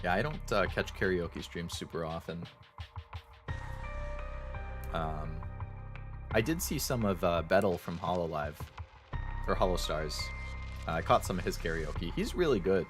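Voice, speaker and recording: Young male streamer, very low voice, sore throat, easygoing rambling chat, soft music behind. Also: moderate pace. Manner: rambling, relaxed, many fillers. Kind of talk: casual chat with viewers.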